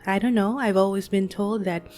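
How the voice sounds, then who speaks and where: A woman in her late thirties, speaking gently and soothingly in a reflective monologue as a podcast host. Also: mid-range voice, steady tone, moderate pace, soft background music. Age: 30s. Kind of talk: soft-spoken reflective monologue.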